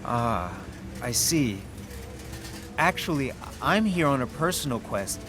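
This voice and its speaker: A man's voice: medium pitch, very animated, takes it slowly. An actor playing an earnest, loyal young American man who grew up in China and is searching for a lost friend. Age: young adult. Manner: earnest and emotional, rising to pleading. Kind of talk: audio drama acting. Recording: music playing underneath.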